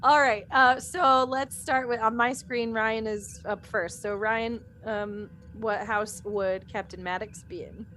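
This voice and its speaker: A woman's voice: fairly high pitch, fairly even intonation, takes it slowly, hardly any pauses, bright, forceful tone, a little hiss or hum. A woman chatting casually as she moderates a group podcast, with an informal, slightly hesitant, giggly way of talking. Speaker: podcast cast member moderating fan questions.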